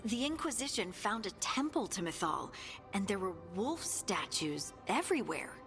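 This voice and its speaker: Curious game companion, animated voice, long echo, faint music. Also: female voice, mid-range voice, normal speed. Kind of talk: naturalistic video game dialogue.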